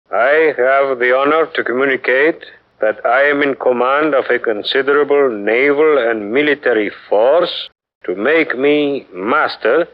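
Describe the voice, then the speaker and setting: A proud indignant Spanish fortress governor, mid-pitched voice, dull 1940s radio sound. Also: male voice. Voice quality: haughty, indignant.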